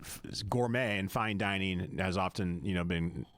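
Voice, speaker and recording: A man with a low, chatty voice, hosting a relaxed radio chat with lots of fillers and quick animated asides. The sound is dry and close to the mic. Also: no room sound. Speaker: talk show host. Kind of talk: casual radio talk.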